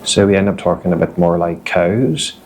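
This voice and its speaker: A man voicing a wry, deadpan villager with a Northern Irish accent. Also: deep voice, normal speed, a little hiss or hum.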